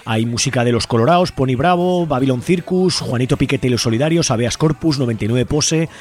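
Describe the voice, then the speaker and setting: A man's voice: medium pitch, brisk, a little mellow. A man with a Spain Spanish accent hosting a community radio show in a casual, informal, joking way. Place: busy radio studio. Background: faint music.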